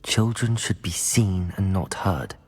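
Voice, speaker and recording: A man's voice: low-pitched, animated, a little mellow, slightly rough, soft background music, full character voice. A man voicing a level-headed, supportive young student wizard with a British accent in a video game. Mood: grave. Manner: measured, reassuring. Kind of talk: video game cutscene dialogue.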